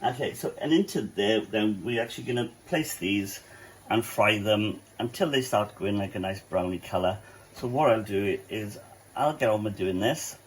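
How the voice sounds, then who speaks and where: A man's voice: lowish voice, slight background noise, poor audio. A man with a Welsh accent who runs a cafe, talking viewers through a cooking tutorial at his home kitchen in a chatty way, with joking asides. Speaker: cafe cook.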